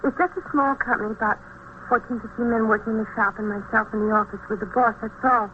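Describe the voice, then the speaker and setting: A woman's voice: a little high, steady tone, fast, lightly acted. An actress playing an earnest, flustered American bookkeeper in her thirties, talking in a plaintive, wordy way in old radio drama style. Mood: shaken, earnest. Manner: plaintive, wordy, repeats herself. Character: flustered, earnest female bookkeeper, mid-thirties, robbery victim. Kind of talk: 1950s radio drama acting. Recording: tinny, noisy vintage radio recording.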